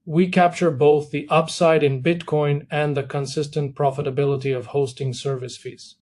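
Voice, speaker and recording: Higher-pitched man reading formal corporate remarks. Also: a little mellow. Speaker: company executive on an earnings call. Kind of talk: scripted corporate presentation.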